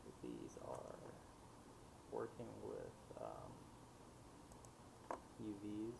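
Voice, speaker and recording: A man thinking aloud in a casual, unscripted software demo, hesitant and muttering to himself as he trails off. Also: rumbling low, huge pitch swings, extremely slow, gravelly. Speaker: livestream host demonstrating animation software. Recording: noisy mic with clicking in background.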